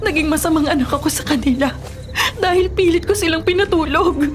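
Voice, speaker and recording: Very high-pitched, desperate young daughter. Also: female voice, brisk, music in the background. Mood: urgent, reassuring. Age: young adult. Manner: urgent, pleading, emotional. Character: desperate, loyal young daughter rescuing her boyfriend. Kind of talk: Filipino radio drama acting.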